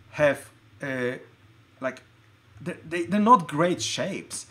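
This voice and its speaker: A middle-aged man with a slightly high voice talks informally as he hosts a livestream from his desk. He is originally from Poland. His delivery is conversational and halting, with thoughts that often break off and restart. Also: a bit bright. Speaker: livestream host showing collected keyboards. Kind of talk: casual livestream show-and-tell. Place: indoor room at a desk.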